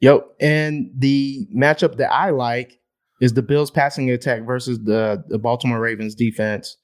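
A man's voice: mid-range voice, steady tone, a little slow. A man co-hosting a fantasy football podcast in casual chat, reeling off numbers and repeating words as he thinks. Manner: stat-heavy, repeats words while thinking.

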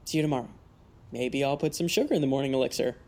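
A woman's voice: rumbling low, rapid-fire, warm tone. A woman in an audio drama playing a friendly, awkward, eager teenage boy, earnest and bumbling. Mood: friendly, shy. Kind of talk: naturalistic audio drama acting.

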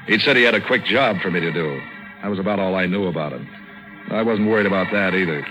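An actor playing a cynical, world-weary American private eye, narrating in a wry deadpan in 1940s radio style. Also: male voice, deep voice, fast talker, faint music behind, low-quality recording. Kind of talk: 1940s radio acting, first-person narration. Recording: old 1940s radio broadcast recording.

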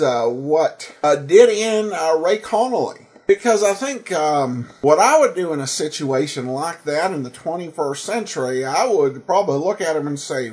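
A man hosting a podcast, giving commentary in an earnest way with emphatic, uneven stress. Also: a little high. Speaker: podcast host. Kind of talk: podcast commentary and sponsor read.

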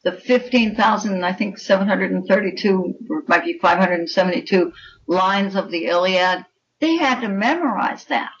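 An older woman talks as an interview guest, with a deliberate, opinionated way of putting things. Her pitch sits in the middle range. The recording is slightly dull and lo-fi. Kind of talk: conversational interview.

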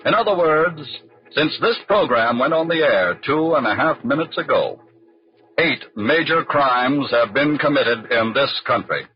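An actor serving as a wry crime-story narrator with an American accent and dramatic, measured 1940s radio delivery. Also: male voice, medium pitch, expressive, natural voice. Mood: grave. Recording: dull vintage radio, faint music.

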